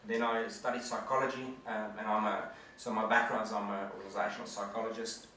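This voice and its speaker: A man's voice: on the low side, little pitch movement, fast, sharp tone, slight background noise. A man in his forties gives an earnest, conversational presentation to an audience as a guest speaker. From South Africa. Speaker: guest speaker, management consultant. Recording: echoey hall from far off.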